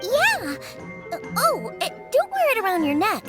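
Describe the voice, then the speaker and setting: Chatty cheerful cook girl, high and sing-songy, game music under it. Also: wildly swooping pitch, a little slow, heavily acted. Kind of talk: game voice acting.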